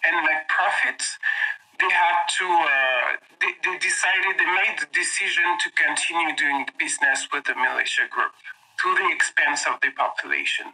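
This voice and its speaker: Bright-voiced man on a remote call. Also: on the high side, rough recording. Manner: halting, many fillers and restarts. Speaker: senior investigator, guest. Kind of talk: interview answers.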